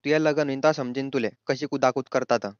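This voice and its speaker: A man playing a brash, lecherous brother-in-law in a crude comedy cartoon, speaking gruff, teasing rural Ahirani from north Maharashtra. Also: medium pitch, fairly even intonation, fast talker, no room sound, lightly acted. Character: lecherous, brash brother-in-law visiting his wife's family. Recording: slightly dull, lo-fi dry voice track.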